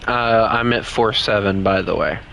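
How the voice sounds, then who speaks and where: A young man with a Southern US drawl streams a game and chats with the players he meets. His voice is bright and forward, and he rambles in a loose, candid way. A faint hiss sits under his talk. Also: on the low side, moderate pace, creaky. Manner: rambling, candid, talks to other players while playing. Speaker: gaming streamer. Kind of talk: casual live-stream chat.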